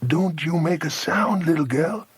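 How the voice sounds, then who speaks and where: A man voicing a poor, lost American man in hiding, hoarse and urgent in an audiobook story. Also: a little high, a little slow, voice pushed up, full character voice. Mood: hushed pleading. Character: frightened, friendly American man hiding in a tree, urgent warnings.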